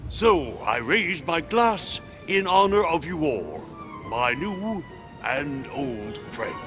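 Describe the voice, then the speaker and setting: A man's voice: fairly high pitch, big pitch swings, slow. An actor playing a genial, dignified American statesman, speaking in a gracious, formal, oratorical manner for a video game. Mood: gracious, jovial. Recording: music behind the voice.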